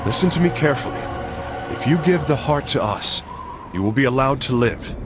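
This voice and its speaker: An actor playing a resolute crusader knight in a video game, speaking in a commanding, earnest way. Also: male voice, mid-range voice, animated, fairly quick, background noise, lightly acted. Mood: questioning, insistent. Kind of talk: dramatic video game cutscene acting. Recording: dramatic music behind the voice.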